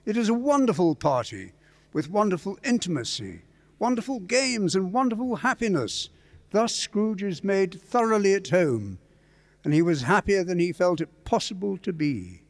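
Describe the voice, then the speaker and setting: A man's voice: high voice, big pitch swings, normal speed. A man narrating a scripted story as part of a staged radio play. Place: live performance in a church.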